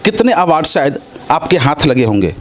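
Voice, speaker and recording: Man, interviewer, fairly high-pitched voice, wordy roundabout questioning. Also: sing-song, normal speed.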